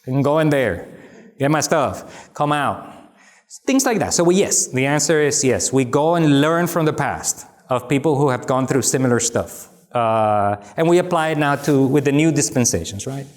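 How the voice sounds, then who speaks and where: A middle-aged man answers questions from a lecture audience. His voice sits at an ordinary pitch, and he talks in an animated, emphatic way with wry asides and many restarts. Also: normal speed. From Dominican Republic. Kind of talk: lecture Q&A, conversational.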